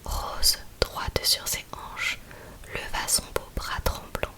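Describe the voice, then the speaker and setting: Female ASMR host, fully whispered, unhurried, intimate and soothing, close-miked poetry reader. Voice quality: breathy, unvoiced whisper. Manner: soft, slow, intimate, soothing delivery. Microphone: close-up USB condenser microphone.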